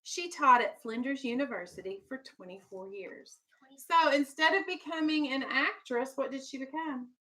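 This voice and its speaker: High, bright-voiced woman teaching young children. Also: moderate pace, poor audio. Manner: instructive, simple explanations, checks understanding with questions, firm with children. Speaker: elementary school teacher. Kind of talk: classroom read-aloud lesson with questions to young children.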